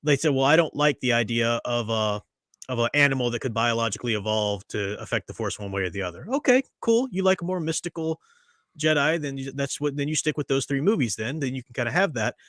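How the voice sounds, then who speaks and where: A man's voice: mid-range voice, animated, fast, fairly bright tone, no echo, clean studio sound. A man hosting a casual podcast discussion, rambling with frequent restarts and fillers. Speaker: podcast host.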